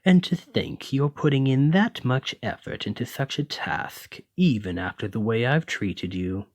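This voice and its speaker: A man's voice: mid-range voice, lively intonation, a little slow, darkish tone, no echo. A man playing a haughty, teasing male lamia noble in an ASMR roleplay, with a pompous, refined, drawling and condescending manner. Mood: teasing, amused. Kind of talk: ASMR roleplay, scripted monologue to listener.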